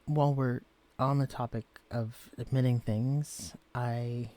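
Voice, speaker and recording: A man voicing a gentle, shy young man who has been shrunk to tiny size, speaking softly and stammering when flustered. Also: medium pitch, steady tone, takes it slowly, natural voice. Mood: tender. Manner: soft-spoken, hesitant, stammering when flustered. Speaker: character in a listener-directed romance audio. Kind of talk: audio roleplay drama.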